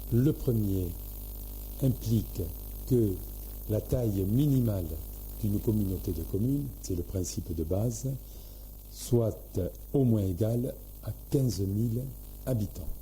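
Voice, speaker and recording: A man giving a formal, prepared explanation in a measured, halting way, in short administrative phrases. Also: lowish voice, expressive, dark tone, a little hiss or hum, low-quality recording. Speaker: local government official.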